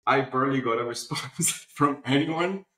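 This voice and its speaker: Male interview guest, mid-pitched, animated conversational storyteller, roomy echoey recording. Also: normal speed. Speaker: interview guest, publishing platform founder. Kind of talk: casual conversational interview answers.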